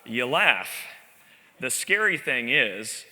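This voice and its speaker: Bright, wry male conference speaker. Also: medium pitch, takes it slowly. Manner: animated, wry storytelling with self-deprecating asides. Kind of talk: live conference presentation. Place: large convention hall stage. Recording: long reverb of a large hall.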